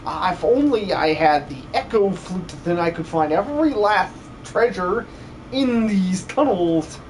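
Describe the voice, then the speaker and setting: A man voicing an excitable, grateful little treasure-loving creature in a theatrical video game fan dub. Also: high-pitched, measured pace, a little hiss or hum. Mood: apologetic, boastful. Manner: gushing, theatrical.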